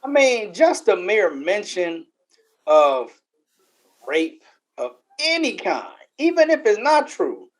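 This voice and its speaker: American podcast cohost, mid pitched voice, casual and emphatic, repeats stuff to make the point. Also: rough recording. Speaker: podcast co-host. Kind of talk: casual opinionated panel talk.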